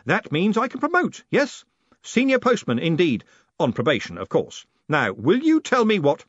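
A man voicing a postmaster in an audiobook, smooth-talking, snappy and sardonic, with a commanding manner. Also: high voice, wildly swooping pitch, moderate pace, a bit bright, dry recording, muffled recording, voice pushed up, heavily acted. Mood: brisk. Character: brisk, exasperated, smooth-talking male postmaster.

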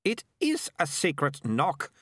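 A man's voice: fairly high pitch, very animated, moderate pace, sharp tone, no room sound, clean studio sound, voice pushed up, heavily acted. A man voicing a bossy, dramatic young girl with a haughty, insistent manner in an audiobook story. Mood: annoyed, insistent.

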